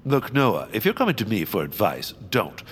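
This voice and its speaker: An actor playing a pompous, haughty villainous land baron in a comedic audio drama, theatrical and dismissive. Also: male voice, fairly low pitch, huge pitch swings, moderate pace, slight background noise. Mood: lofty, dismissive.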